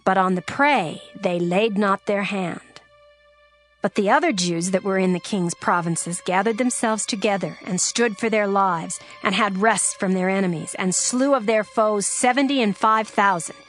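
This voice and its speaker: Woman narrating scripture over soft music. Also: mid-range voice, normal speed. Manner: steady, formal reading.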